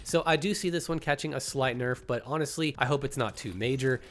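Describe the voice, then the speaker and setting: A man's voice: mid-range voice, brisk, fairly bright tone, slight background noise. A man hosting a gaming channel, talking casually through his opinions on game balance with a congested, slightly nasal voice. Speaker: gaming YouTuber.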